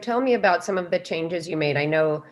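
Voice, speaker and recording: Female podcast interviewer with a low-pitched voice, for questions and narrated links. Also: expressive, fairly quick.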